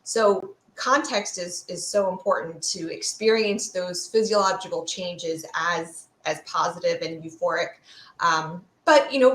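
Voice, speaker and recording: A woman speaking as an expert guest in an interview, explaining science conversationally and thoughtfully, searching for her words. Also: medium pitch. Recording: roomy, echoey room sound.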